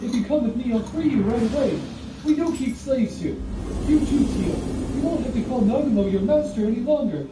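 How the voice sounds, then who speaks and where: A man voicing a hot-headed, self-righteous young male hero in a storybook, declaiming pompously and forcefully. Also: very high-pitched, expressive, fairly quick, far from the mic, very noisy, voice pushed up. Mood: coaxing, smug. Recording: roomy echo, off the mic, music.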